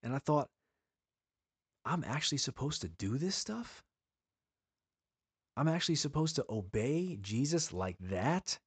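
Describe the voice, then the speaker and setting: Man, earnest preacher, pleading rhetorical questions, mid-pitched voice, dry close sound. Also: big pitch swings, measured pace, long pauses, slightly rough, no echo. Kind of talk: earnest sermon-style teaching.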